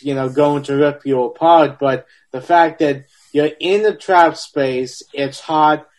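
Slightly higher-voiced man in his forties. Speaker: podcast panelist. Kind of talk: casual film discussion.

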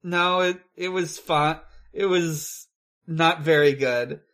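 A podcast host in casual film review chat, with a halting, rambling delivery. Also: mid-range voice, fairly bright tone.

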